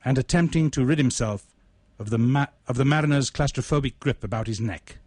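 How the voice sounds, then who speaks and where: A man reading his own literary short story aloud in a deliberate, measured, ornate manner. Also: medium pitch, a little mellow, no echo, studio quality.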